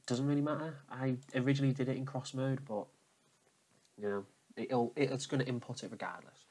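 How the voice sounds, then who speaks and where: A man's voice: mid-range voice, darkish tone. A man giving a casual, informal how-to walkthrough, explaining each step and often repeating himself. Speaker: tutorial presenter.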